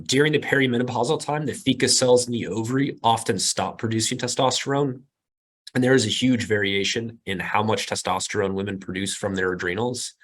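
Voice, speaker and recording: A hoarse male doctor, losing his voice, explaining medical details in precise, technical terms. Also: lowish voice. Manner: precise, jargon-heavy, steady explaining. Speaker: physician guest.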